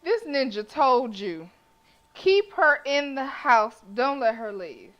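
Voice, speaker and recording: A woman's voice: sits high, animated, slow, fairly bright tone, highs cut off. A sassy, colloquial woman reading and reacting aloud as she streams a game. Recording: faint background noise.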